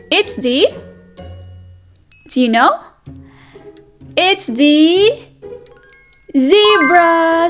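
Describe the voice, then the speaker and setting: A woman reading aloud as a preschool teacher, addressing small children in a sing-song manner with questions and repetition. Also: high-pitched. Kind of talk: interactive children's read-aloud lesson.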